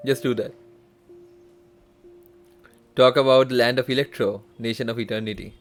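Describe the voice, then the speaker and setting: A woman with a very deep voice comments casually as she streams a game. Her phrasing is hesitant, with short murmured reactions. Game music plays faintly behind her. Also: steady tone, normal speed.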